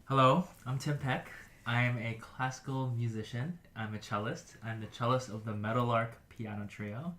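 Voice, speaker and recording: A man's voice: medium pitch, fairly even intonation, moderate pace, fairly bright tone. Matter-of-fact man introducing himself. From New Jersey. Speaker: cellist.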